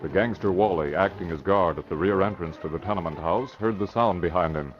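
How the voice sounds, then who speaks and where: Deep-voiced old-time radio narrator, man. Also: fairly quick, heavy background noise. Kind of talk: dramatic scripted narration, 1940s radio. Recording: dull lo-fi old radio recording.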